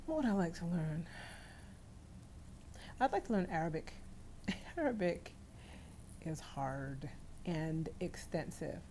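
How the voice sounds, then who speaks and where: A woman's voice: fairly low pitch, animated, slow, long pauses, mellow, slight background noise. A woman hosting a casual livestream from home, reading out viewer comments and chatting with her family, laughing often. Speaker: livestream host.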